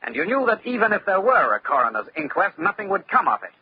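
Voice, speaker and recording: A man playing a sharp, tough investigator in an old-time radio detective drama. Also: high-pitched, big pitch swings, fast talker, husky, exaggerated voice. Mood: accusing. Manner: hard-boiled, explanatory. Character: sharp, tough male investigator, brisk and self-assured. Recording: dull lo-fi old radio, faint noise.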